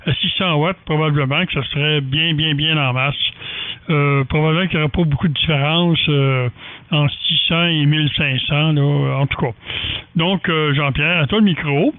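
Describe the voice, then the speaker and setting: A man's voice: fairly high pitch, fairly even intonation, moderate pace, dry recording. A man with a Quebec French accent, an amateur radio operator chatting casually in a hesitant, rambling way over the radio. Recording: dry, thin phone-like radio sound.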